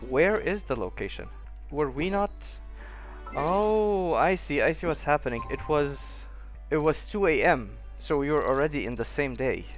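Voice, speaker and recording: A man's voice: a little high, expressive, measured pace, soft background music. A man streaming a game with casual commentary, rambling and thinking aloud as he plays.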